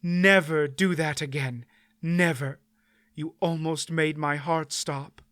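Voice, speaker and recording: A woman's voice: low voice, steady tone, slow, no echo, studio quality. A man voicing a stern, imperious sorceress. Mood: tender. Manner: sharp and imperious. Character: stern commanding woman, sorceress guardian. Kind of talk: audiobook character voice.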